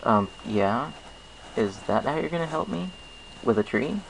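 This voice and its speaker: A man plays a self-conscious storybook pony, awkward and unsure. The speech is halting and nervous, with a creaky edge and faint noise underneath. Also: medium pitch, expressive, pitched above the performer's own voice, rough recording. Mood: awkward, puzzled.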